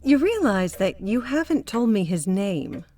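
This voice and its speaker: A woman playing a measured, analytical psychotherapist in an audio drama. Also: medium pitch, a little hiss or hum. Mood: calm, probing. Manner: calm, probing, analytical. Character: measured, probing female psychotherapist.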